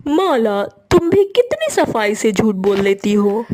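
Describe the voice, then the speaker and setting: A woman's voice: fairly high pitch, sing-song, measured pace, background noise. A woman voicing a stern, protective young husband in a cartoon moral story, firm and scolding. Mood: firm, annoyed.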